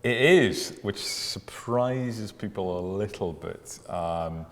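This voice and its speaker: Low-voiced Irish man in an echoing room. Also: slow pace. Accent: Irish with some Scottish. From Ireland. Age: middle-aged. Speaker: distillery manager being interviewed. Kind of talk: casual interview. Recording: long echoing reverb, faint background noise.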